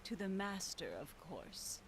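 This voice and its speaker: A woman's voice: mid-range voice, close to an everyday voice. An actress as a fantasy game housekeeper, primly courteous and evasive. She takes her time over every formal phrase. Faint hiss sits under the voice. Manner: formal, unhurried, primly polite. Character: courteous, evasive female housekeeper of a mysterious manor. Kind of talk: scripted fantasy game dialogue.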